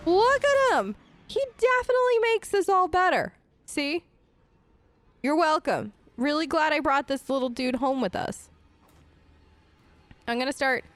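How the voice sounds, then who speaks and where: A woman's voice: high voice, fairly bright tone. A woman streamer giving casual, playful in-character commentary on a fantasy game, chatting to companions and pets. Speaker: video game streamer role-playing her character.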